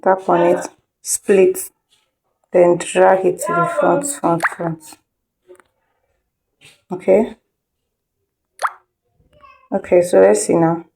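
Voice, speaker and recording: A woman's voice: fairly low pitch, little pitch movement, extremely slow, creaky, long pauses, warm tone. A woman instructor giving an informal step-by-step tutorial, repeating instructions and checking in with listeners. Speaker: video editing instructor.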